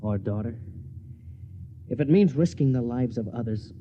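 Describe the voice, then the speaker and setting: An actor playing a resolute, weary spaceship captain and husband, terse and authoritative, in 1950s radio drama style. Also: male voice, medium pitch, expressive, normal speed, natural voice. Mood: resolute, restrained. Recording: muffled, hissy old radio broadcast.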